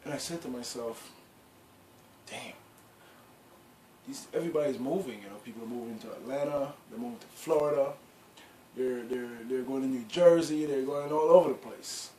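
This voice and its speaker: A man's voice: mid-range voice, moderate pace, pauses often, mellow. Middle-aged man telling his story. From Guyana. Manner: rambling, lots of "you know" and restarts. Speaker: clothing brand founder. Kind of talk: casual storytelling interview. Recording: echoey room with faint background noise.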